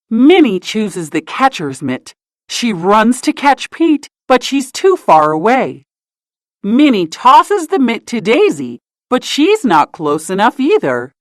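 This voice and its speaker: A woman narrates a children's storybook with lively, swooping intonation. She takes her time over each phrase, telling it expressively for young listeners. The recording is close and dry. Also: fairly high pitch, no room sound. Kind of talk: scripted children's read-aloud narration.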